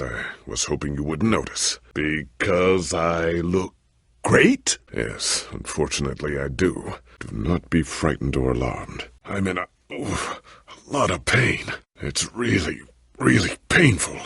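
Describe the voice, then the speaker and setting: A man playing a proud, earnest veteran warrior hero in a video game, speaking formally and theatrically with a deep, raspy voice. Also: big pitch swings, measured pace, a bit bright, dry recording, clean studio sound, full character voice. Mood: weakened, struggling. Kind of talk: dramatic video game dialogue.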